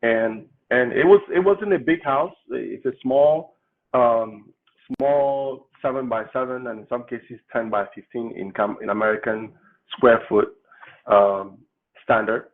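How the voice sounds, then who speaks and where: A man from Cameroon, a political candidate, telling his life story in an interview with relaxed, explanatory humor. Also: medium pitch, a little slow. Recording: tinny phone-like line.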